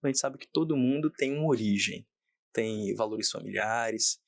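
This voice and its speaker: A man's voice: medium pitch, normal speed, vocal fry, no room sound, studio quality. A man hosting an interview podcast, enthusiastic and effusive as he introduces his guest. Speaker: podcast host.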